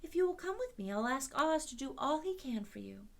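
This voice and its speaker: A polite, eager little girl, as a woman narrator puts her on. Her voice lifts high and bright, kind and earnest, with lively swoops of pitch. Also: a little fast, creaky, exaggerated voice.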